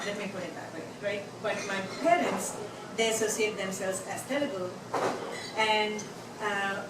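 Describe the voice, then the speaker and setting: A middle-aged woman with an Indian accent, telling a personal story on stage in a rambling, chatty way with many fillers. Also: mid-range voice. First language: Tamil. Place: bar venue. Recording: echoey venue, mic from a distance, noisy.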